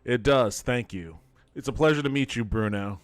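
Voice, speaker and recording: A man's voice: mid-range voice, normal speed, music in the background. A man doing casual gameplay commentary as a streamer, talking in an amused, incredulous, sweary way. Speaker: video game streamer and podcast host.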